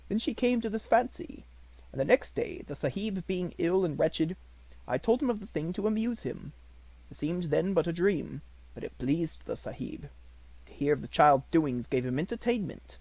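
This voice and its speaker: A devoted Indian manservant from a children's storybook, acted out by a male reader. He is respectful and watchful, with formal, poetic phrasing. The voice is raised and melodic, with a little creak, recorded dry. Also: sits high, a little mellow, no room sound, voice pushed up, heavily acted, poor audio. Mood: wistful, earnest.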